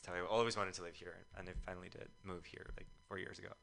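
Young guy from ukraine, deep voice but bright, talks fast, chatty storyteller. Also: fairly even intonation. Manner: chatty storyteller, quotes himself often. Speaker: musician guest. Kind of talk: casual interview chat. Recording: radio show studio.

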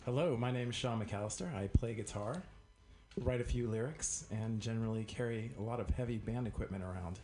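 A man's voice: fairly low pitch, fairly quick, a crowd in the background. A man introducing himself as the band's guitarist in a mild, self-deprecating way. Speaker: guitarist guest. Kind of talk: casual radio chat.

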